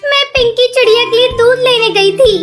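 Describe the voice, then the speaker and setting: A woman voicing a young orphaned girl bird in a cartoon story, sweet, plaintive and earnest in a childlike voice. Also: moderate pace. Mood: tearful, distressed. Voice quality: high, childlike. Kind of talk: Hindi cartoon moral story acting. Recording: music behind the voice.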